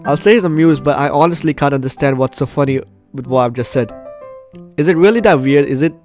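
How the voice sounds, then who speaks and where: A man hosting a gameplay video, reading game text aloud and breaking in with expressive reactions. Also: on the high side, quick pace, soft background music. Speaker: gaming video host.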